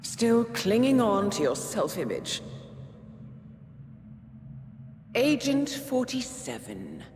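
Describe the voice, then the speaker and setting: A cool calculating handler lady, measured, lively pitch, music behind. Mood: taunting. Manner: measured, intimate, quietly persuasive. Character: poised woman handler, calm and calculating. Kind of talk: video game cutscene acting.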